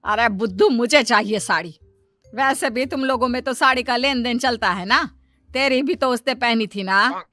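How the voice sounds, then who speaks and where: A woman's voice: fairly high pitch, normal speed, pushed and bright, no room sound, soft background music. A woman voicing a bossy older village mother-in-law in a story, nagging and exasperated.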